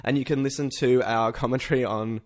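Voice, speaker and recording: He is a man with an average-pitched voice, hosting a comedy chat podcast. He talks informally, as if with a friend. His banter is jokey and loosely sweary. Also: steady tone, fairly quick. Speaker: podcast host. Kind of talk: casual comedic pop culture podcast chat.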